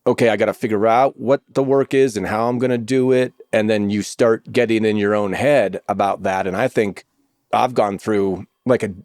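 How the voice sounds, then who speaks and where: A middle-aged man, an actor, telling stories on a podcast in an animated way and swearing freely. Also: lowish voice, normal speed, dry recording, studio quality. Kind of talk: casual podcast chat.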